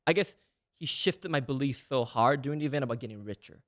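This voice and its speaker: A young man in his twenties, from Malaysia, talks through a casual interview at a quick clip. He keeps a steady mid-range voice and fills his talk with "like" and "right?" as he explains himself. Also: medium pitch. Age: 20s. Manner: fast, eager talk with frequent "like" and "right?". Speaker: guest.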